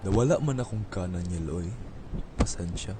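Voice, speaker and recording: A man's voice: sits low, animated, normal speed. Man playing an evasive young boyfriend, music behind. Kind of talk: radio drama dialogue.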